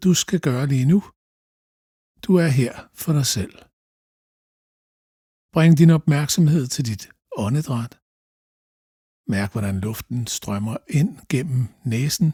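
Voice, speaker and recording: A man leads a guided meditation in a dark, mellow voice with a breathy edge. His delivery is slow and calm, with gentle instructions and long pauses. The sound is close and dry. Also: mid-range voice, no room sound.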